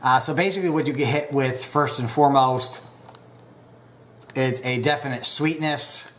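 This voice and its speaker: A man casually reviewing pipe tobacco, unhurried and full of filler pauses, a bit stuffed up from a recent cold. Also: mid-range voice, steady tone, a bit bright.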